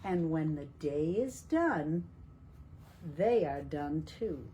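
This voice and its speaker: A woman reading a picture book aloud to young children in a deliberate, teacherly way, asking questions as she goes. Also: low voice, expressive, warm tone, highs cut off, a little hiss or hum. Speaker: children's storytime reader at a library.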